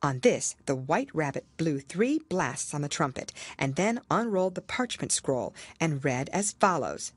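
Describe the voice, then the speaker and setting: Female audiobook narrator: lowish, animated storybook delivery, very dry sound. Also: unhurried, hardly any pauses, slightly rough, no room sound. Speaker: audiobook reader. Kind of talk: expressive scripted storybook narration.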